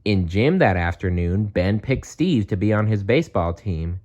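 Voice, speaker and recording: A man's voice: lowish voice, lively intonation, normal speed, a little mellow. A man reading a children's story aloud as a storyteller.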